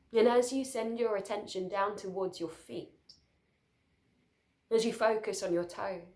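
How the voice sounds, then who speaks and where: A woman leading a guided sleep meditation, speaking soothingly and gently in short, unhurried phrases with pauses. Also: medium pitch, creaky.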